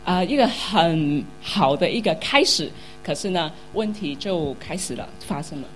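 A woman's voice: on the low side, animated, moderate pace, soft background music, low-quality recording. A woman interpreting a sermon into Mandarin, which is not her first language, hesitant and full of fillers.

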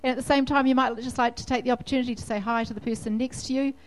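A woman's voice: a little high, fairly even intonation, racing, low-quality recording. A woman leading a church service, who chats in a friendly way with a guest and leads a prayer.

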